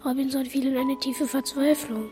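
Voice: high voice, little pitch movement, normal speed, over music, lightly acted. A young performer playing an eager, bookish little schoolboy in a German radio drama. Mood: storytelling.

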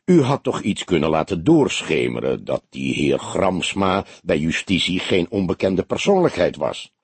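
A man's voice: low voice, big pitch swings, normal speed, slightly rough, dry recording, voice pushed down, heavily acted. A man voicing an old male police detective in an audiobook, sardonic and probing, with a curt, mocking manner. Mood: reproachful. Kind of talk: audiobook character dialogue.

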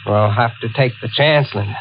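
An actor playing a steady, protective brother, guarded and plain-spoken, in a 1940s radio thriller. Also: male voice, medium pitch, a little fast, lightly acted. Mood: tense resolve. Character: steady, protective brother, a stranded young motorist. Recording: dull, hissy 1940s radio recording.

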